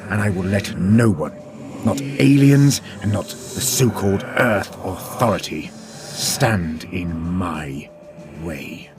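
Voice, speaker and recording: A ruthless, brooding scientist villain. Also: male voice, low-pitched, slow pace. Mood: menacing. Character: ruthless male scientist villain, grandiose and resentful. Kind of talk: audio drama monologue. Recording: music behind the voice.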